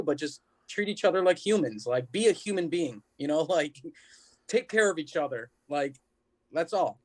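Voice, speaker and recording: A man, a musician guest, chatting in an informal, rambling way. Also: fairly high pitch. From United States. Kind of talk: casual chat.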